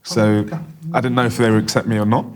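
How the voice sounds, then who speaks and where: A man interpreting for a deaf guest, speaking quickly in the first person. His voice sits fairly low, and he strings short clauses together in a steady flow. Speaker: sign language interpreter voicing a deaf guest.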